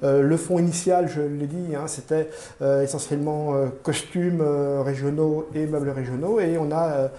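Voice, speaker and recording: A man giving formal, measured explanations in an interview as a museum curator, often pausing mid-phrase as he searches for words. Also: on the high side, steady tone, dark tone. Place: indoor room in a museum. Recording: roomy, echoing indoor sound.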